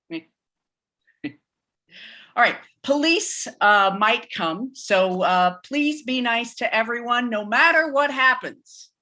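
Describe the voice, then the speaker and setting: A woman playing a joking, informal church pastor who speaks haltingly in a live staged play reading. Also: on the high side, lively intonation, bright, forceful tone, exaggerated voice. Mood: cheerful, rambling. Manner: halting, chuckling, informal sermon delivery. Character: Pastor Reggie, rambling, joking, nervously candid church pastor.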